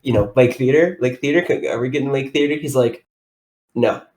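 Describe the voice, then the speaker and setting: Young male podcast co-host, animated rambling storyteller, mid-range voice. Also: mid-range voice, brisk, a little hiss or hum, poor audio. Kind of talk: casual chat, storytelling.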